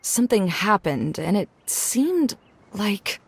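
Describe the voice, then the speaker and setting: A woman voicing a brisk, blunt, formal young scholar in a video game, matter-of-fact in manner. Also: mid-range voice, sing-song, creaky, breathy edge, dry recording, exaggerated voice. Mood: troubled. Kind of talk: video game cutscene acting. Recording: background music behind the voice.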